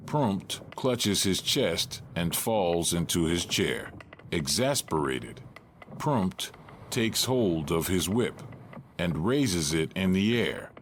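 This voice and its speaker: A man's voice: sits low, sing-song, takes it slowly. A man narrating scripted stage directions for an audio drama, with faint noise behind him.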